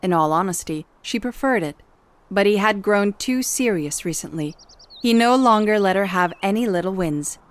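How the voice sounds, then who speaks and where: A woman's voice: mid-range voice, animated, moderate pace. A woman reading a scripted story as the narrator of an audio drama. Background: music.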